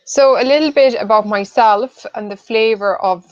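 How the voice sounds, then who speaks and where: A woman in her late thirties from southern Sweden, co-hosting a webinar as a nutritional therapist and speaking casually with frequent ums and pauses. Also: a little high, dry recording. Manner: hesitant, many ums and "I suppose". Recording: dull, dry lo-fi audio.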